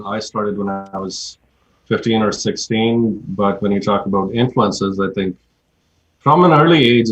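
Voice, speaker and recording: A man of about forty, a musician chatting casually as an interview guest. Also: on the low side. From Pakistan. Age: around 40. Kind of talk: casual chat. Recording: slightly dull, lo-fi sound.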